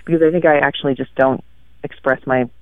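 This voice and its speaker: A man talking about his work in a halting, thoughtful way, with many fillers, as a guest on an interview show, heard over a tinny line. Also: mid-range voice, fairly even intonation, clean tone, dry recording. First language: French. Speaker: guest author. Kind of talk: casual interview chat. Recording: tinny phone-like radio line.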